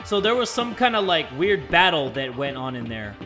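A man thinks aloud as he plays through a game, filling his talk with casual fillers and asides to his viewers. His voice sits a touch high for a man, and it sounds hoarse and tired from a long session of recording. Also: sing-song, normal speed, over music. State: hoarse, voice worn out. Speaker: gaming video host. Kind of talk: let's play commentary, reading game text aloud.

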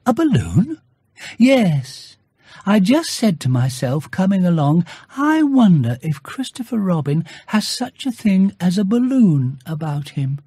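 Storybook bear, male reader: small, earnest, muddled, high voice, slow and pondering. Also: husky, no echo, studio quality, exaggerated voice. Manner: slow, pondering, singsong when excited.

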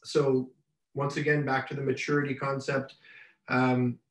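Halting man on a roomy webcam. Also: medium pitch, level tone. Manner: halting, many fillers and repeated starts. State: raspy from much talking. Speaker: business mentor presenting a webinar. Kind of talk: unscripted slide presentation. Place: home room, indoors. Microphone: webcam on a video call. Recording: roomy webcam sound from a distance.

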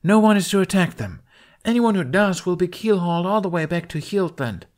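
Man putting on a fierce young woman captain, raised pitch. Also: expressive, fairly quick, darkish tone. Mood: commanding. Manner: barking orders.

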